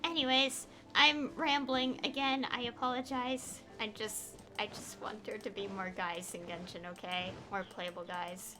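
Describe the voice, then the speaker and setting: A woman's voice: mid-range voice, steady tone, unhurried, few pauses, sharp tone, faint music behind. A woman game streamer chatting casually and rambling, with an exasperated, opinionated, pleading emphasis. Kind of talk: casual rambling livestream chat.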